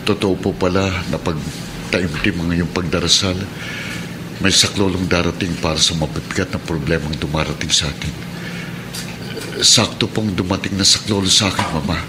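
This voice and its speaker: A man's voice: deep voice, moderate pace, noisy background, lightly acted. An actor playing a devout, troubled suspended policeman and devoted husband in a Filipino radio drama, earnest and respectful. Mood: anxious, grateful.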